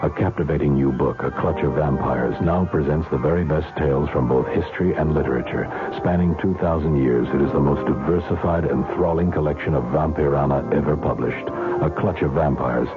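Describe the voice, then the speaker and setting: A man's voice: extremely low pitch, a little fast. A man playing a sinister, theatrical narrator of a vampire book advert on 1970s radio. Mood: ominous. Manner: ominous, theatrical. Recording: muffled old radio, loud music behind.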